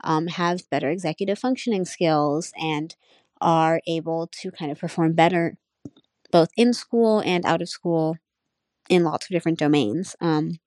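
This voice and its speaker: A young woman student with a low-pitched voice, first language Nepali, talks through her thoughts for a class assignment. She muses aloud in a relaxed, searching way, leaning on fillers as she goes. The sound is close and dry, with no room around it. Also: a little slow, no room sound. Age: young adult. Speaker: college student. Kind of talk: casual spoken class reflection.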